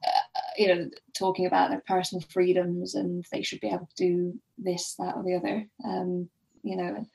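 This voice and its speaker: A woman's voice: fairly low pitch, flat delivery, normal speed, gravelly, a little mellow, low-quality recording. A woman guest on a podcast discussing a book casually, thinking aloud in a hesitant way.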